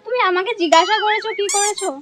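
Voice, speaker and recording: A young woman speaks. She talks very quickly in an informal vlogger's way, as on a prank call. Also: extremely high pitch, fairly even intonation, over music. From West Bengal, India. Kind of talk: casual prank phone call.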